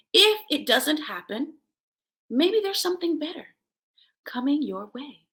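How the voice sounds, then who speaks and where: High, animated woman with bright delivery. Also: vocal fry. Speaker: host of a self-help show. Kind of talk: motivational talk with personal stories, addressed to viewers.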